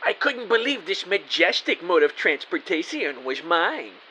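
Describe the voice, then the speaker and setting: A man plays an excitable young-at-heart rookie spy telling his story in an audio drama. The voice is fairly high, extremely bright and swings around with eager melodrama. There is a faint hiss behind it. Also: a little slow, full character voice, rough recording. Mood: awed, eager. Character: earnest, excitable male rookie spy narrating his adventure. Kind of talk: first-person audio drama narration with dialogue.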